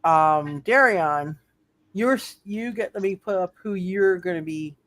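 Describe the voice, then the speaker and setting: A game master running a live tabletop superhero roleplay, speaking haltingly and often repeating words and phrases. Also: mid-range voice, a bit bright.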